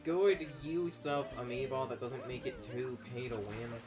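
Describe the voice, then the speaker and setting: Rambling man chatting over music. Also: medium pitch. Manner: rambling, halting, circles back to his point. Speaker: gaming video co-host. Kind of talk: casual opinionated game commentary.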